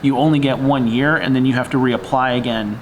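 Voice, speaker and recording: Male vlogger, medium pitch, hesitant conversational storyteller who acts out quotes, slightly noisy recording. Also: steady tone, a little fast. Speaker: vlog co-host. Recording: faint background noise.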